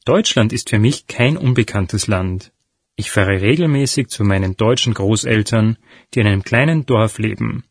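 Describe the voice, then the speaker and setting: A young man reading a scripted part as a student in a listening exercise, calm and even. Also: on the low side, breathy edge, no echo, studio quality. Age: young adult. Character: young Dutch male student, 22.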